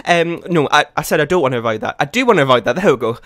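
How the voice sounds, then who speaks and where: Young male YouTuber, croaky morning voice, fast and chatty, dry close sound. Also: on the high side, animated, fairly bright tone, dry recording. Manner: fast, rambling talk with many fillers. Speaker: game building YouTuber. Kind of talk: casual gameplay voiceover. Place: his bedroom at home.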